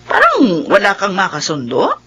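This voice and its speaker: Doting middle-aged mom, raspy tone, lively swooping intonation, nagging, affectionate, radio drama. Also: female voice, mid-range voice, normal speed, heavily acted. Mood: chiding, concerned. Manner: fussing, chiding. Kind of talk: Filipino radio drama acting.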